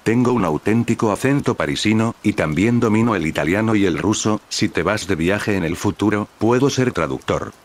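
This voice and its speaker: A man's voice: fairly low pitch, moderate pace, few pauses, lightly acted. A man reading the lines of an eager schoolboy wizard bragging to a girl.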